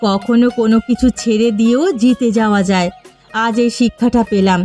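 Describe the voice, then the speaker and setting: Woman playing respectful village woman, higher pitch, gentle, earnest, cartoon drama, faint music underneath. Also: steady tone, brisk, lightly acted. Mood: content, thoughtful. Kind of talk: Bengali cartoon voice acting.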